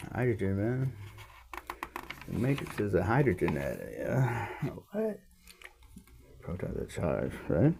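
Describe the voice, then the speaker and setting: A man hosting a podcast, reading a science text aloud in an emphatic, halting way with repeated words and offhand muttered asides. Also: on the low side, vocal fry, mellow, husky.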